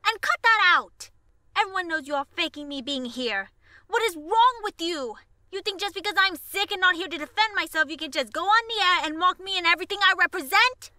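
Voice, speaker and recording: A woman's voice: very high-pitched, expressive, brisk, sharp tone, no room sound, clean studio sound, heavily acted. A woman playing a prim, exasperated female pony in an audio drama, speaking in a formal, haughty, scolding manner. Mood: furious. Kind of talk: fan audio drama acting.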